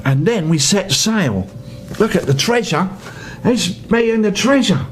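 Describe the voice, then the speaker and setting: A man's voice: lively intonation, unhurried. An older man reading a children's story theatrically, with playful asides to the listener. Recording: noisy home recording with rumble.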